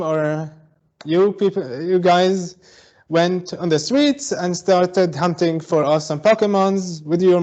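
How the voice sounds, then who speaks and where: A man from Syria, a guest advocate for mixed reality, explaining step by step with many pauses and fillers over a video call. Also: a little high, darkish tone, lo-fi sound. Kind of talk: explanatory online talk. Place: home in Berlin.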